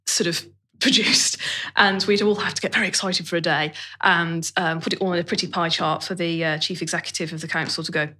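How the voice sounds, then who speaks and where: Woman, British accent, slightly low voice, candid, colloquial, self-deprecating, conversational interview guest. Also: steady tone, fairly quick, bright, forceful tone. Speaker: interview guest, business owner. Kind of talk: conversational personal career story.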